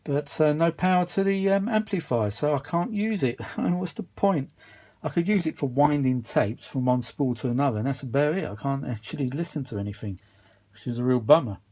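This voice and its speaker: A man's voice: on the high side, animated, fairly quick, darkish tone. A man giving casual, unscripted workshop chat as a repair vlogger, rambling along with a mildly weary grumble. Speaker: vintage audio repair vlogger.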